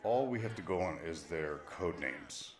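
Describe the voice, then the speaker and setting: A man's voice: sits low, animated, moderate pace. A man playing a grave, weary survivor leader in an apocalyptic audio drama, speaking in a terse, solemn, dramatic way. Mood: intent. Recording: roomy echo, faint dramatic music behind.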